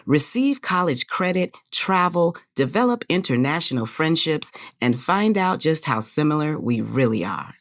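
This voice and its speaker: A podcast host with a low voice, her sound dry and close. She comes across as upbeat and enthusiastic, welcoming her guest and prompting him with questions. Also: big pitch swings, moderate pace, few pauses, no room sound. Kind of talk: podcast interview.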